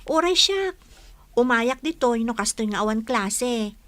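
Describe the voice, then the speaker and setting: A woman plays a friendly young student in a radio play. Her voice is high and bright, with a chatty delivery. The sound is dry and close. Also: lively intonation, a little slow, no room sound. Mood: friendly, curious. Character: friendly, chatty young female student working part-time. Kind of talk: radio drama acting.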